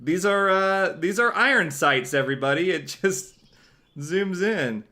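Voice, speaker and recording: A man's voice: high-pitched, expressive, measured pace, sharp tone. Sarcastic male game streamer. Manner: sarcastic, dry jokes about the game. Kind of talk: let's play commentary.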